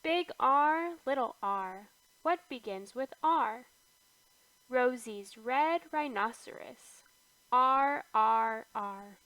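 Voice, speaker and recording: A woman reading an alphabet book aloud to small children in a sing-song way, lifting her voice on each big letter and trailing off softly on the repeats. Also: a little high, lively intonation, very slow, no echo, poor audio. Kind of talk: slow read-aloud for toddlers.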